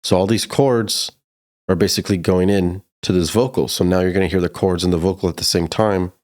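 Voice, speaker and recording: A man's voice: low voice, fairly even intonation, fairly quick, a little mellow. A man narrating a casual music production tutorial, explaining things step by step in an informal way.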